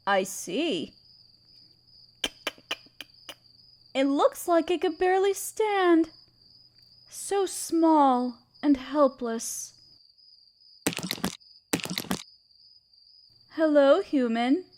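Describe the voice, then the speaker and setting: A woman playing a regal, tender spider queen in an audio roleplay, speaking in a gentle, soothing and slightly formal way. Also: high voice, takes it slowly, a little hiss or hum. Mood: regal, curious. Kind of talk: scripted roleplay audio drama. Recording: crickets chirping behind the voice. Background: crickets and night insects.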